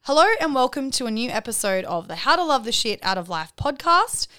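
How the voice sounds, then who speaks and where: Woman podcast host, smooth resonant voice, chatty and encouraging, dry close mic. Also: on the high side, very animated, brisk, bright, forceful tone, no room sound. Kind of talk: podcast interview hosting.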